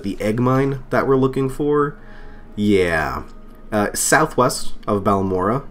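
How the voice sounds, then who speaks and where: A man getting over a cold, chatting casually and thinking aloud as he plays through a game, with fillers and small laughs at himself. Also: lowish voice. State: recovering from a cold. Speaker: let's play host. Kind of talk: casual gameplay commentary. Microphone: desk microphone.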